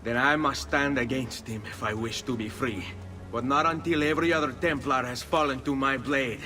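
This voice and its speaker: A man's voice: mid-range voice, moderate pace, lightly acted. A man with an Italian accent playing a proud, vengeful young nobleman turned assassin in a video game. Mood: grim, resolved. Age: young adult. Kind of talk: video game cutscene acting. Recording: loud game music behind.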